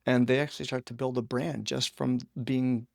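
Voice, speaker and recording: A man with a creaky, gravelly voice hosts a casual podcast interview. He talks conversationally at a medium pitch, and the sound is dry and close. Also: fairly even intonation, normal speed, no room sound. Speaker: podcast host interviewing a guest.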